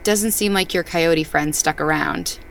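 A woman's voice: low voice, a little slow, vocal fry, a bit bright, a little hiss or hum, exaggerated voice, low-quality recording. An actress playing a skeptical female companion in an audio drama, wry and questioning in natural dialogue. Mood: doubtful.

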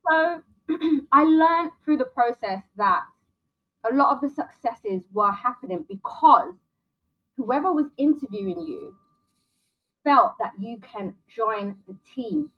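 A woman as an interview guest, briskly listing options and giving direct career advice. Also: fairly high pitch, expressive, dull audio.

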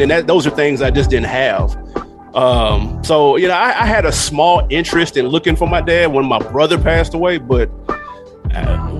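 A middle-aged man with an American accent talks as a podcast guest in a mid-range voice. He is candid and slangy, telling stories in a loose conversational style, with music clearly playing behind him. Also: medium pitch. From Nashville, USA. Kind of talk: casual storytelling chat.

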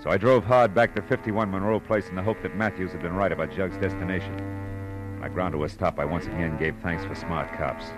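An actor with an American accent playing a shrewd, clipped, wry private detective in 1940s radio style. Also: male voice, deep voice, a little fast, lightly acted, rough recording. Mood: grim, narrating. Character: hard-boiled male private detective, brisk, shrewd and sure. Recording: old radio drama recording, music behind.

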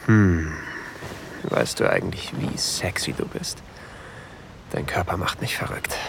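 Slow, low, seductive lover. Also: male voice, sing-song, noisy background, heavily acted. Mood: tender, seductive. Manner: breathy murmuring, often dropping to a whisper. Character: possessive, seductive new lover, adult man, on a sailboat. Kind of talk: intimate erotic ASMR audio drama. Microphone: close microphone. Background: faint sea ambience.

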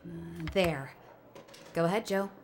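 A woman's voice: lowish voice, slow pace, slight background noise. An actress playing a casual, efficient woman working in an agents' office in an audio drama. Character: casual, efficient female FBI office colleague.

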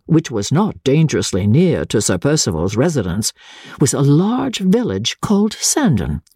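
A woman narrating a classic novel as an audiobook, in a measured, formal and dramatic storytelling manner. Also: extremely low pitch, dark tone, husky, no echo, clean studio sound.

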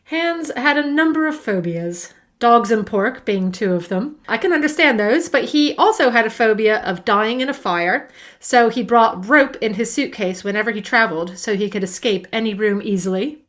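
A woman with a slightly raised pitch co-hosts a casual podcast. She shares odd facts with dry, teasing humor and laughs easily. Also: animated, normal speed, fairly bright tone. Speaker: podcast co-host. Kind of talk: casual chat.

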